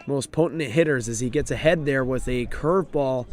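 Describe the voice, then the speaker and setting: A man calling a college baseball game play by play, his voice raspy and hoarse from overuse, steady and informative, and lifting with the action on the field. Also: medium pitch, normal speed. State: hoarse, voice drained. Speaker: play-by-play announcer. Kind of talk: live baseball play-by-play commentary.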